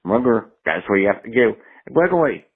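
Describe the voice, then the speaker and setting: A man's voice: on the low side, very animated, quick pace, slightly rough. A man leaving a rambling, profane voicemail for a show, jumping from topic to topic. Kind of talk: rambling phone message. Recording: tinny phone line voicemail.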